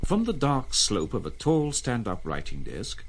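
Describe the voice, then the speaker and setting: A man's voice: mid-range voice, wildly swooping pitch, a little slow, a little hiss or hum. A man narrating a literary story in the manner of a dramatised audiobook.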